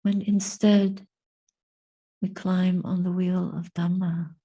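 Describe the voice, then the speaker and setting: Female meditation teacher, lowish pitch, breathy and soft, contemplative phrasing with long pauses. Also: flat delivery. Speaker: Buddhist nun and meditation teacher. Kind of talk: guided meditation and dhamma reflection.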